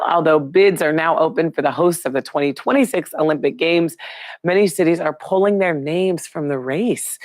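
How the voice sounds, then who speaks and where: A woman, hoarse and raspy from a cold, hosting a live news chat and greeting viewers by name. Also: low-pitched, dry recording. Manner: chatty, upbeat, throws questions to viewers. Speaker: live show host.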